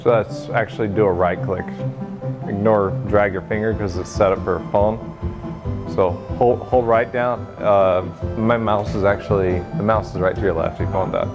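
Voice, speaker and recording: A young man giving an informal tech demo talk in a hesitant, halting way, recorded in a meeting room. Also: lowish voice, fairly even intonation, dull audio, over music. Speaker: presenter, game developer. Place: indoor hackerspace meeting room, small stage.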